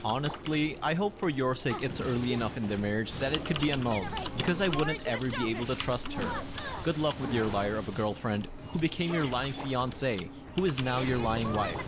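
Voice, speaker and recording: A man's voice: mid-range voice, lively intonation, brisk. A man reading written personal posts aloud as a scripted narrator. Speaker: narrator of online relationship stories. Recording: clearly noisy background.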